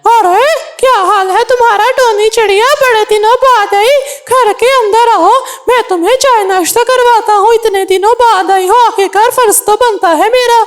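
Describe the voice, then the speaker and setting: Woman voicing a very high-pitched, touchy cartoon bird. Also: normal speed, few pauses. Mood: chatty. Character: touchy, quarrelsome male cartoon bird, crow or parrot. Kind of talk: Hindi children's cartoon dialogue.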